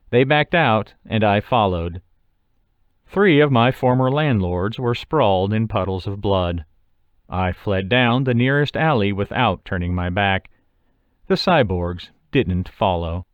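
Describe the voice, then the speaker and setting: A man's voice: on the low side, no echo, lo-fi sound. A man reading his own short story aloud as scripted first-person audiobook narration.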